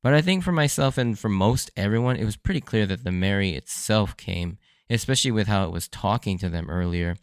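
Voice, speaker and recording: A man hosting a solo podcast in a casual, chatty way, his voice a little hoarse and worn. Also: lowish voice, expressive, a little fast, dry recording, clean studio sound. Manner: conversational, with frequent fillers and light laughs. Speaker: podcast host. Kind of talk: solo podcast episode review.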